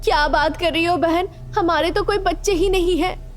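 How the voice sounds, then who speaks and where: A woman's voice: very high voice, steady tone, moderate pace, soft background music, pitched above the performer's own voice. A woman voicing an anxious village housewife in a story, with a plaintive, theatrical delivery. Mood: worried. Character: anxious village housewives, fretful young wives.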